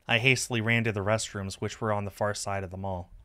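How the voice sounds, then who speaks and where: A man reading a visual novel aloud as expressive first-person narration on a stream. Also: on the low side, a little fast.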